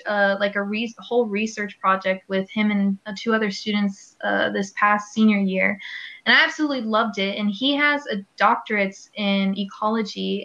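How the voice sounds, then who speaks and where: Chatty young woman, lo-fi audio. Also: medium pitch. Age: 20s. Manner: animated, laughs often. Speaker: podcast co-host. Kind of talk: casual chat. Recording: slightly dull lo-fi recording.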